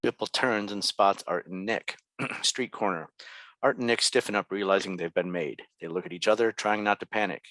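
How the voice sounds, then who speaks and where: A man's voice: on the low side, normal speed, pushed and bright, no echo, low-quality recording. A man reading stage directions as scripted narration for a table read.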